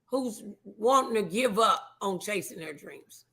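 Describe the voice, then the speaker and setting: A woman hosting a faith-based interview show, speaking in an encouraging, affirming, gently preachy way. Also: medium pitch, animated, a little slow. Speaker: talk show host.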